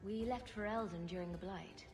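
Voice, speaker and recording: A woman's voice: mid-range voice, moderate pace, music in the background, close to an everyday voice. An actress voicing a wisecracking woman champion in a video game, with a dry, flippant, sarcastic manner. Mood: wry, matter-of-fact.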